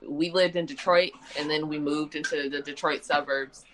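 Low-voiced young woman from Michigan. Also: fairly even intonation, normal speed, crowd noise, rough recording. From Michigan, USA. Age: 20s. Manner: chatty, frequent restarts and fillers. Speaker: guest. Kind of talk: casual conversation.

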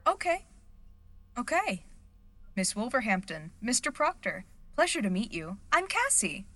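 A woman's voice: a little high, sing-song, measured pace, sharp tone, heavily acted. A woman playing a bewildered, flustered young actress in an audio drama, polite and full of questions. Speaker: actor in an audio fiction podcast. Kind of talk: acted audio drama dialogue.

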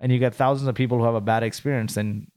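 Fast-talking founder pitching his company. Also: steady tone, darkish tone. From Kuwait. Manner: fast, businesslike pitch with rhetorical questions. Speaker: startup founder. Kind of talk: interview answers.

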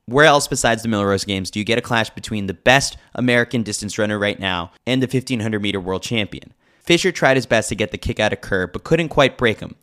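Guy reading a sports column, clean smooth voice, bit on the low side, kinda dry jokes. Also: lively intonation, brisk, a bit bright. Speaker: newsletter reader, podcast host. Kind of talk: scripted narration of a sports newsletter.

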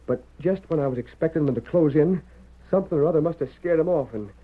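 A man's voice: a little high, quick pace, close to an everyday voice. An American actor playing an exhausted, dutiful frontier husband and father with a folksy Western drawl, in old-time radio style. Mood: grim, shaken. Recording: muffled narrowband vintage radio recording.

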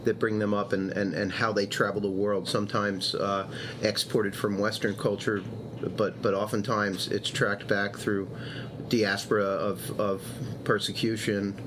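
A man hosting an interview, voice fairly low, with steady background hiss heard behind him. He responds often with short encouraging sounds. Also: measured pace. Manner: encouraging, frequent backchannel. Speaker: interview host. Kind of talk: conversational interviewing.

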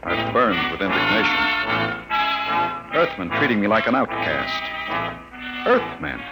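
An actor playing a dignified, indignant visiting scientist who also narrates, in a 1950s radio drama. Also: male voice, lowish voice, very animated, unhurried, over loud music, rough recording. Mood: narrating. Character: haughty visiting scientist from the stars, proud and bold. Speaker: narrator and lead.

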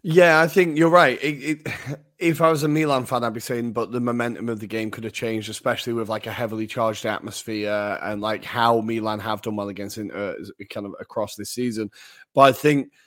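A young man co-hosting a casual football podcast, easygoing and quick to laugh. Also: on the low side, fairly even intonation, fairly bright tone. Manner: easygoing, laughs often, agreeable backchannels. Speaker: podcast co-host.

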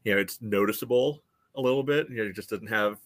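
A man's voice: on the low side, fast talker, fairly bright tone, dry recording. A man giving casual sports analysis on a podcast, rambling along and peppering it with filler phrases. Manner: rambling, peppered with "you know".

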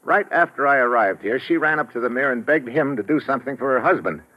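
An actor playing a sardonic, hard-boiled American investigator in a 1950s radio drama, wry and clipped. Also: male voice, medium pitch, expressive, quick pace, close to an everyday voice. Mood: explaining, assured. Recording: dull old radio recording.

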